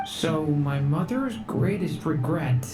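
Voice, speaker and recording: An actress voicing a gentle, earnest young dragon boy in a video game, hesitant and polite. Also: low-pitched, expressive, slow. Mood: earnest, uncertain. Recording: echoey, with music behind.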